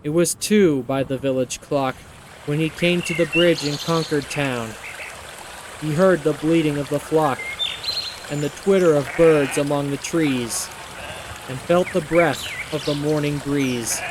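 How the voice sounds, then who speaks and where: A man reads narrative poetry dramatically, in a solemn, measured storytelling manner. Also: a little high, background noise. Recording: loud music behind the voice.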